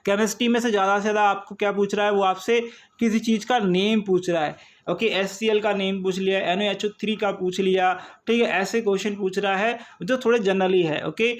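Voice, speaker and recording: A high-voiced man works through an informal exam coaching lesson. He explains step by step, often repeating himself and posing small questions that he answers himself. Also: fairly even intonation, a little fast. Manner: repetitive explaining, checking with short tags and rhetorical questions.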